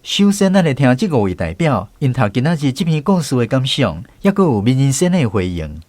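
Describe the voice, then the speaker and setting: A man's voice: medium pitch, big pitch swings, normal speed, darkish tone. A man from Taiwan hosting the program, announcing the guests in a scripted introduction. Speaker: program host.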